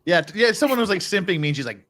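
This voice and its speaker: Animated, higher-pitched man hosting a chat. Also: rapid-fire. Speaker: livestream host reading chat messages. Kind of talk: casual banter.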